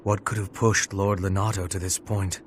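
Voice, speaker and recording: A man's voice: deep voice, flat delivery, moderate pace, slight background noise, natural voice. A man playing a brooding, thoughtful noble in a video game, musing in formal speech. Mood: pondering. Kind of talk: scripted video game voice acting. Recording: loud music over the voice. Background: loud game soundtrack music.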